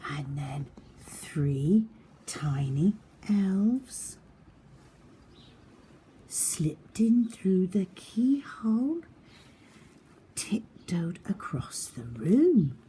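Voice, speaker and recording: Slow, very expressive woman storyteller. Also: on the low side, a little hiss or hum. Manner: expressive, dramatic, often hushed and breathy. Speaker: children's storyteller. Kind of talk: animated storybook narration.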